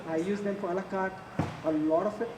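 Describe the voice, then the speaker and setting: Male chef, forties, Indian accent, chatty halting demo delivery, distant mic in a reverberant space. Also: high-pitched, fairly even intonation. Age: 40s. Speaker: chef giving a cooking demonstration. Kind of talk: casual live cooking demonstration. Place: large echoey event space before an audience. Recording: echoey, noisy public hall, distant mic.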